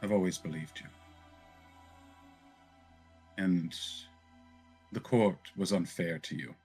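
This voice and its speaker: A man voicing a frail elven noblewoman in a tabletop game, earnest and solemn, tender yet quietly indignant. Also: deep voice, breathy edge. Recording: music behind the voice.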